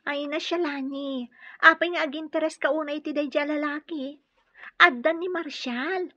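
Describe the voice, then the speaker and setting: A woman's voice: high voice, fairly even intonation, a little slow, pushed and bright, dry recording. A woman acting a nosy, teasing young best friend in an Ilocano radio drama, full of exclamations. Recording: dull lo-fi sound with music.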